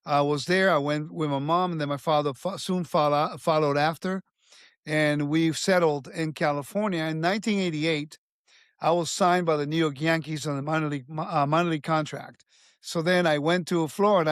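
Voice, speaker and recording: A man in his fifties from Puerto Rico speaks with a slightly raised pitch, recounting his life in an earnest, halting way. The sound is close and dry, with no room around it. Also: clean tone, dry recording. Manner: earnest, with frequent restarts and fillers. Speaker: guest. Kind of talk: conversational life story.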